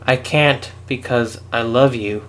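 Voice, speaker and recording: A fan reader voicing a young woman hero in a story reading, earnest and heartbroken, lines pitched up above their own voice. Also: takes it slowly, fairly bright tone, soft background music. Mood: pleading.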